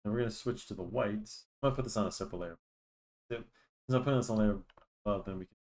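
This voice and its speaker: A young man with a lowish voice talks loosely as he draws, looping back through restarts and fillers. He has a cold and sounds a bit nasal and stuffy, recorded on a desk microphone. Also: quick pace, darkish tone. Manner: rambling, lots of restarts and fillers. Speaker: drawing channel host. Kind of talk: casual chat while drawing.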